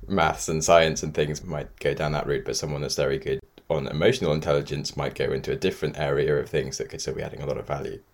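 A man with an extremely deep, low voice talks as a podcast co-host. His delivery is casual and agreeable, with slightly hesitant, loose phrasing. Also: fairly even intonation, quick pace, creaky, hardly any pauses. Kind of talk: casual chat.

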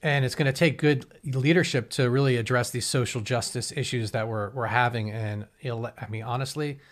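A man's voice: mid-range voice, steady tone, a little fast. A man in his forties interviewing guests in a casual, rambling way, often restarting his sentences. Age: 40s.

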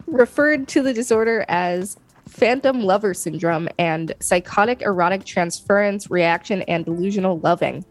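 A woman's voice: medium pitch, moderate pace, faint music behind. A woman co-hosting a podcast, reading an article aloud steadily, now and then tripping over names. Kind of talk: casual read-aloud.

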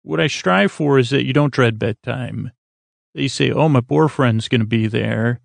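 A man with a gravelly, creaky voice at a middling pitch, recorded close and dry. He hosts a bedtime podcast, wandering through his thoughts with stammers, repeated words and sentences left hanging. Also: dry recording. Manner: meandering, halting, stammering, trails off mid-thought; acts out imagined back-and-forth dialogue. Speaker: podcast host. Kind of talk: rambling bedtime monologue.

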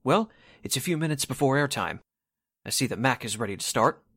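Man playing a genial, animated radio station man. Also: mid-range voice, fairly quick, background noise, lightly acted. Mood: genial, businesslike. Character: brisk, genial radio station host showing guests around. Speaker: actor in an amateur radio drama re-creation. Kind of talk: 1940s radio acting.

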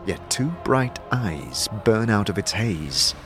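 A man giving measured, atmospheric scripted narration for an audio drama. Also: on the low side, big pitch swings, music in the background.

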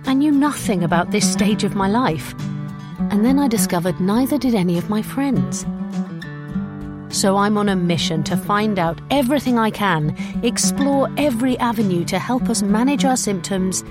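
A woman hosting a podcast, chatting warmly with guests on a video call and laughing easily. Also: mid-range voice, animated, normal speed, loud music behind the voice. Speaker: podcast host. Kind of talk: podcast intro and group chat over video call.

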